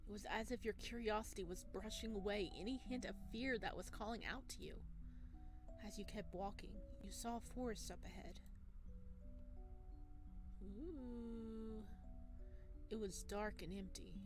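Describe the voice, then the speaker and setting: A woman reading a visual novel aloud as a let's player, expressive, with casual chatty reactions. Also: mid-range voice, unhurried, music in the background. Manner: expressive, exclaims and chats between read passages. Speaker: video game let's player.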